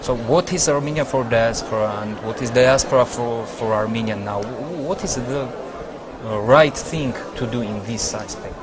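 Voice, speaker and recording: A male interviewer asking haltingly phrased questions. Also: mid-range voice. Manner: brief affirmations, questions asked haltingly. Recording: crowd and noise outdoors.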